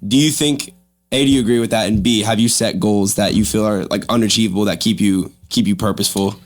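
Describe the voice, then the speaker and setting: A young man interviews a guest as a podcast host. His voice is fairly low. He asks his questions in a casual, chatty style. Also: fairly even intonation, fast.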